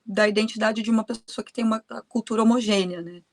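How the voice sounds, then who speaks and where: A woman's voice: medium pitch, steady tone, quick pace. A woman, a guest in a conversation, patiently explaining ideas while thinking aloud.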